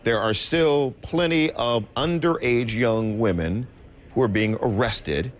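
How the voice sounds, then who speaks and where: A man anchoring a news broadcast, introducing a report and then talking with the reporter in a measured, conversational way. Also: mid-range voice.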